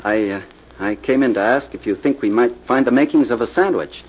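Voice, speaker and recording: An actor playing a spendthrift young gambler nephew, polite yet sullen and guarded, in a 1940s radio drama. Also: male voice, mid-range voice, brisk, husky, close to an everyday voice. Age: young adult. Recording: muffled old radio recording with hiss.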